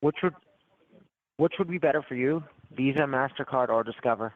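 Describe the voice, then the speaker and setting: A man's mid-pitched voice comes through a thin, tinny phone line. He runs a smooth, persistent sales script and keeps circling back to the same selling points. The talk is steady and practiced. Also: moderate pace, no room sound. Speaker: phone tech support salesman.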